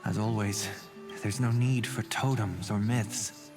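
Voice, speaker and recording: An actor voicing a solemn, philosophical man in a fantasy game, speaking gravely and with measured weight. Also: fairly low pitch, fairly even intonation, over music. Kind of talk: dramatic fantasy game acting.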